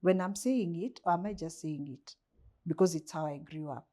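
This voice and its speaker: A woman's voice: lowish voice, normal speed, mellow. A Kenyan woman, a coach, talking thoughtfully in an interview and posing rhetorical questions to herself. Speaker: guest, coach. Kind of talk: reflective interview conversation.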